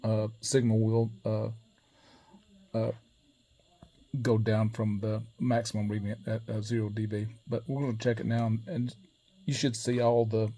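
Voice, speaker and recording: A man giving a casual hands-on technical tutorial in a methodical, matter-of-fact way, pausing as he goes. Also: fairly low pitch, flat delivery, mellow. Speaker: presenter demonstrating equipment calibration.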